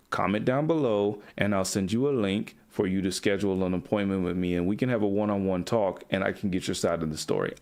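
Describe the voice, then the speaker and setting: A man with a fairly low voice talks straight to the camera as a coach for young men. He is blunt and preachy, and he swears freely as he lectures. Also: gravelly, a little mellow. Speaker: men's coach. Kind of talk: direct-to-camera motivational talk.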